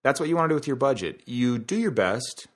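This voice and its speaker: A man's voice: mid-range voice, big pitch swings, a little fast. A man hosting a podcast in a casual, conversational monologue, explaining things through analogies and asides. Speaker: podcast host.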